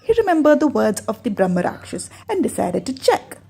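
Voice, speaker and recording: A woman with an Indian accent telling a folk tale in expressive scripted narration. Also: a little high, a little fast, gravelly, husky. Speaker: storyteller.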